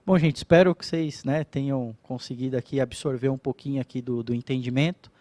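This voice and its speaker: A man's voice: medium pitch, fairly even intonation, fairly quick, darkish tone, dry recording. A man presenting his company's cybersecurity services at an event and addressing the audience in a casual, explanatory way. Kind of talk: corporate presentation. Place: event stage at company office.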